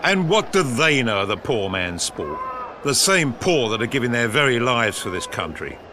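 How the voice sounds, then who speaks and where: An actor playing a gruff, opinionated senior government official in a period audio drama, clipped, dry and authoritative. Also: male voice, medium pitch, sing-song, moderate pace, natural voice. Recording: outdoor sports crowd and noise behind.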